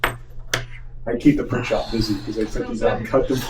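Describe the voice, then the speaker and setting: A man's voice: mid-range voice, level tone, normal speed, background noise. A man running a casual tabletop game, explaining the rules and thinking aloud as he rephrases, with group chatter around him. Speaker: game designer and teacher running the game.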